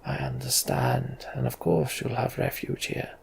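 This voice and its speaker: A man voicing a gruff, proud and weary old human king in a storybook fantasy game. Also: mid-range voice, wildly swooping pitch, a little slow, a little hiss or hum, full character voice. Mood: weary, grateful.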